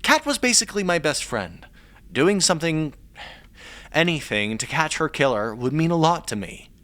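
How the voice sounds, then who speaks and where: Nosy sidekick in an audio drama, really animated, keeps pushing, playful and chatty. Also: male voice, a little high, moderate pace. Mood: eager, insistent. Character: eager, nosy, persistent amateur sleuth's sidekick. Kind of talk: naturalistic audio drama acting.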